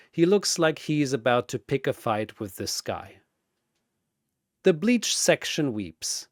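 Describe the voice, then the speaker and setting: Slow, theatrical male battle narrator. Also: mid-range voice, no echo, studio quality. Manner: theatrical, deliberate. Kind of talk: dramatic scripted fantasy battle narration.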